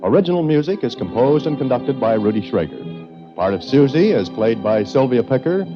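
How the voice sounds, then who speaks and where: A man's voice: mid-range voice, very animated, a little fast. A man with an American accent reading scripted credits as a 1940s radio announcer. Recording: dull vintage radio with loud music. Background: music.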